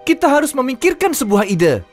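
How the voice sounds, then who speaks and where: A woman's voice: high-pitched, sing-song, fairly quick, darkish tone. A woman voicing a cheerful, eager, helpful young male train in a children's cartoon dub, with music behind. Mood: determined. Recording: background music under the voice.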